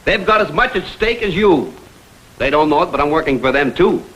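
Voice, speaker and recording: An actor playing a tough, principled investigator in a vintage TV detective drama, speaking in a clipped, authoritative, businesslike way. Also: male voice, fairly high pitch, expressive, brisk, fairly bright tone, a little hiss or hum, poor audio. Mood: firm, annoyed. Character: tough, principled hired investigator, firm and blunt. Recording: vintage TV soundtrack, faint music.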